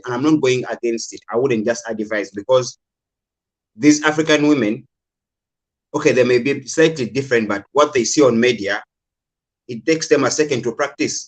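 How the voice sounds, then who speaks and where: A man's voice: mid-range voice, rough recording. A man from Uganda calls in to talk things over. His talk is loose and casual. He leans on fillers and qualifiers as he works through his points. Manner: hedging, repeats "I would say" and "like". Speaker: caller. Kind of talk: casual call-in discussion.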